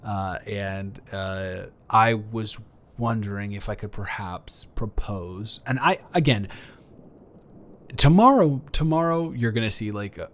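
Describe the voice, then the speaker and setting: A man's voice: on the low side, takes it slowly, a bit bright. A man improvising a flirty, awkward neighbor in a romantic roleplay audio, stammering and earnest as he talks. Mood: bashful, hopeful.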